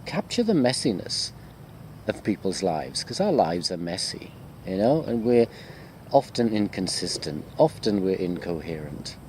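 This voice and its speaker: Low-pitched man, chatty rambling lecturer. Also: big pitch swings, unhurried, a little mellow, slight background noise. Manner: self-deprecating, rambling and conversational. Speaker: university lecturer. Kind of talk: informal walking video chat to students.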